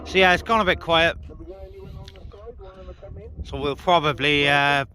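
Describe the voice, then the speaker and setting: A man's voice: on the high side, unhurried, background noise. A man chatting casually as a CB radio operator and vlogger, speaking outdoors on a hilltop. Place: outdoors on a hilltop, light wind.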